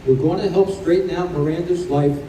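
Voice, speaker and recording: Man as very mellow, kindly spirit guide. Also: a little high, fairly even intonation, brisk, natural voice. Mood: gentle, solemn. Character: kindly, reassuring spirit guide overseeing reborn souls. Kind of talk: old-time radio play acting. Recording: roomy live stage recording, distant, faint noise.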